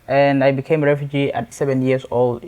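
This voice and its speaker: A young man from South Sudan with a South Sudanese accent, giving a prepared personal testimony in an earnest, deliberately phrased way. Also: medium pitch, little pitch movement, darkish tone. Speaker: youth activist and charity event speaker.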